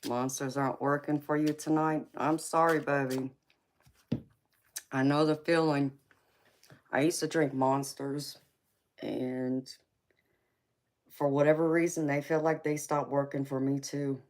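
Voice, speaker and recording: Low-voiced Southern woman with a twang. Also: level tone, a little slow, long pauses, darkish tone. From Georgia, US. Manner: affectionate, calls viewers baby and honey, laughs often. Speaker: tarot reader livestream host. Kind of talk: casual live chat reading comments.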